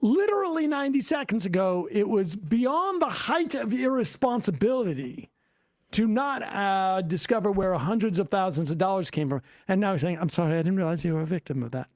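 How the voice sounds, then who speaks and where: A commentary host who keeps pausing a played clip to make sarcastic points. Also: medium pitch, sing-song, no echo, studio quality. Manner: interrupts to pause the clip, sarcastic.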